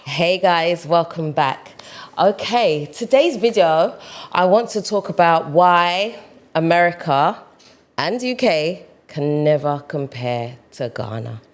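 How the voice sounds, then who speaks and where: A woman's voice: fairly low pitch, animated, takes it slowly. A young woman from the UK, talking casually to camera as a vlogger, often tagging her sentences with "right?" and "you know". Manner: chatty, peppers talk with "right?" and "you know".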